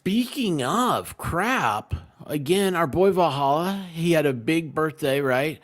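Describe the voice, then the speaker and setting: Animated man chatting and telling stories. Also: on the high side, slow pace. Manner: jokey, tells stories by quoting people in exchanges. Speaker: live stream co-host. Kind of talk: casual rambling chat with anecdotes.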